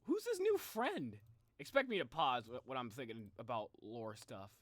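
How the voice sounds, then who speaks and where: A man's voice: mid-range voice, very animated, moderate pace, dry recording. A man hosting a casual livestream reaction, talking informally and often interrupting himself. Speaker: reaction video host, streamer.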